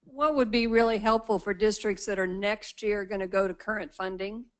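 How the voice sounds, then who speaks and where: A woman's voice: medium pitch, steady tone, moderate pace. A woman in a meeting audience asking questions, thinking aloud in an informal way. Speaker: audience member.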